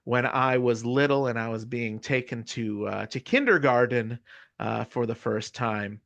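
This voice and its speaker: A man's voice: medium pitch, unhurried, vocal fry, a bit bright, dry recording, highs cut off. A middle-aged American man with a Californian accent giving a casual, chatty lecture, often checking in with the listener. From United States. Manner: casual, chatty, frequent "you know" and "right?" tag questions. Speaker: university lecturer. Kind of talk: conversational lecture.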